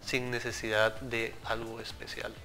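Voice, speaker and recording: A man's voice: mid-range voice, monotone, measured pace, vocal fry, music in the background, low-quality recording. A man, a product engineer explaining things earnestly in a TV studio interview on a lapel mic. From Central America. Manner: explanatory, earnest, addresses host directly.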